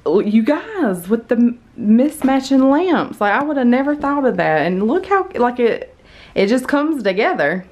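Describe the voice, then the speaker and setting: A woman's voice: fairly high pitch, very animated, measured pace, darkish tone. A middle-aged woman giving a casual, chatty house tour as she walks through rooms holding the camera. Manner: chatty, informal. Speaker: vlogger. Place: inside a furnished house, walking between rooms. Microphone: handheld camera, held by the speaker. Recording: handheld camera audio with faint room noise.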